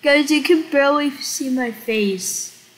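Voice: sits high, slow. A young-sounding gamer chatting casually in a live roleplay game, directing playmates with short calls. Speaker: gamer leading a Roblox roleplay.

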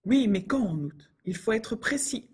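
Brief: woman, higher voice, breathy, clear scripted lesson reads. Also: animated, a little slow, a little mellow. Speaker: dialogue reader for French learners. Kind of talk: scripted learner dialogues.

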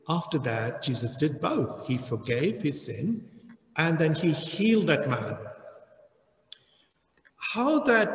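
Slow-preaching man in an echoey church hall. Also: medium pitch. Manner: slow, deliberate preaching with emphatic rises. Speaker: pastor. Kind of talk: sermon.

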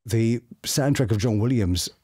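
A middle-aged man telling anecdotes casually as a guest, chatty and full of quick asides. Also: medium pitch, dark tone. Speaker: voice actor guest. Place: small home studio room, indoors.

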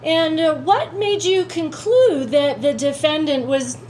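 A woman playing a brisk prosecutor questioning a witness in a courtroom radio play. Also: high-pitched, a little mellow, slight background noise.

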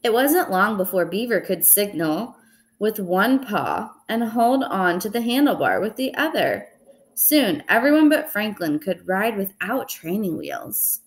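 A young woman reads a picture book aloud at an unhurried pace. Her pitch rises and falls in a lively way, with a deliberate, expressive delivery suited to children. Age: young adult. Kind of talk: storybook read-aloud. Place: indoors in a small room at home.

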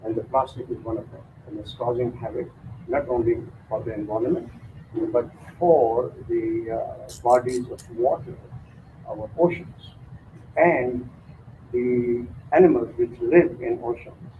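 An older man hosting, introducing a guest speaker in a halting way with many fillers. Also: medium pitch, background noise. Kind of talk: spoken introduction. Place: mosque lecture room. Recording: tinny lo-fi sound.

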